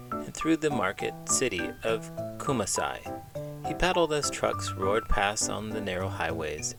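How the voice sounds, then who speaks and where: Low-voiced man, animated storytelling. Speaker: children's book reader, teacher. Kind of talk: expressive scripted read-aloud narration.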